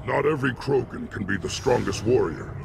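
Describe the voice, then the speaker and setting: An actor playing a stern, proud alien elder in a video game, with a gravelly voice and formal, declamatory delivery. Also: male voice, medium pitch, fairly quick, background noise. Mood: solemn.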